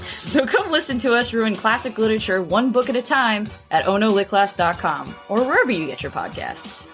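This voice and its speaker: A woman's voice: a little high, expressive, brisk, a bit bright. Woman co-host in a bantering promo, faint music. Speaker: comedy podcast co-host. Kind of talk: scripted promo with bantering delivery.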